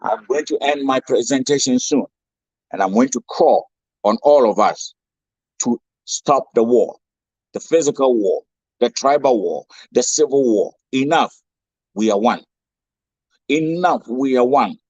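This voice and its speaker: A man's voice: medium pitch, a little slow, pauses often, darkish tone, breathy edge, no room sound. A man from Ghana with a Ghanaian accent, giving a rousing speech as a guest speaker, full of rhetorical questions and repetition. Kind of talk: impassioned speech.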